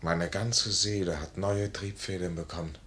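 An actor playing a proud, ardent army major in a 1950s German radio play, with earnest, formal stage diction. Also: male voice, low-pitched, fairly even intonation, moderate pace, a bit bright. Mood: stirred. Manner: earnest, theatrical, formal classical phrasing. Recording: noisy 1950s radio recording.

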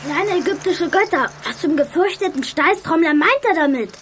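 A woman's voice: very high voice, animated, normal speed, a bit bright, slight background noise. A woman playing a cheeky, clueless youngster in an audio drama, with a mock-puzzled delivery. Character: cheeky, unlearned young joker of a detective gang. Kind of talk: German youth audio drama acting.

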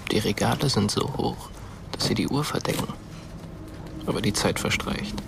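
A man playing a watchful, bored teenage ghost who narrates a radio play in a hushed, deliberate way. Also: on the low side, gravelly, heavy background noise. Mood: ominous.

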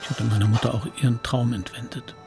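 A man's voice: medium pitch, fairly even intonation, quick pace, creaky, a little mellow, faint music behind, natural voice. A man acting as a reflective, confessional narrator who recalls his guilty boyhood in a radio drama. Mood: remorseful, reflective. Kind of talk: scripted first-person radio drama narration.

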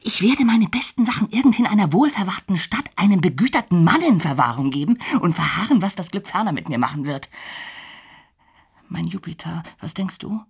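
An actor playing a greedy, excitable young adventurer in a radio play, with a breathy, husky voice. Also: male voice, high-pitched, brisk, heavily acted. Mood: resolute, covetous. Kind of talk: radio play dramatic monologue.

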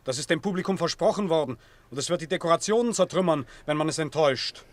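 An actor playing a callous, businesslike Roman arena official in a radio play, practical and insistent. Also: male voice, a little high, quick pace, no echo, natural voice. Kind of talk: classic German radio play acting.

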